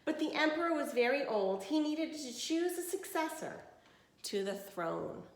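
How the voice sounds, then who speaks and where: A woman with a fairly high voice reads a children's story like a teacher. She tells it with expression and pauses for explanations and questions. The room around her is clearly echoey. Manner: animated storytelling, pausing to explain and question listeners. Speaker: teacher reading a picture book aloud. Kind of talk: expressive children's read-aloud with asides and explanations.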